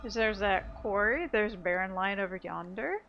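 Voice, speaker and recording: A woman's voice: medium pitch, moderate pace, muffled recording, music in the background. A woman giving casual, chatty video game commentary, thinking aloud as she plays.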